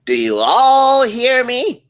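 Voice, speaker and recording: A man's voice: very high voice, wildly swooping pitch, takes it slowly, a bit bright, heavily acted. Man playing a bossy, swooping little ship officer. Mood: bossy, menacing. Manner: abrasive, theatrical, switching between barking and fawning. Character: bossy, crude, sycophantic short male ship officer. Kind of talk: comedy script table read.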